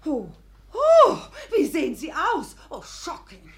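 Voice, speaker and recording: An actress playing an easily offended English governess in a comic radio play, speaking broken German with an English accent. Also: female voice, high-pitched, wildly swooping pitch, slow pace, heavily acted. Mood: offended, shrill. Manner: indignant, melodramatic, broken German grammar.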